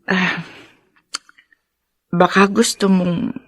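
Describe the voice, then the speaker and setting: A woman playing a lonely, bereaved woman in a Filipino radio drama, speaking softly and haltingly with an earnest, husky voice. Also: fairly low pitch, flat delivery, no room sound. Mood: hesitant, tender. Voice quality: husky, creaky. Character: wistful lonely bereaved woman, gently hesitant.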